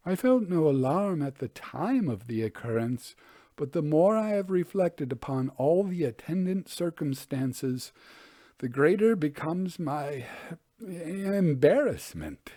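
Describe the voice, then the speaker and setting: Man playing an earnest Victorian gentleman, higher-voiced. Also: sing-song, slow pace, dry recording, exaggerated voice. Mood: troubled. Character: earnest, unsettled Victorian gentleman recounting an eerie sighting.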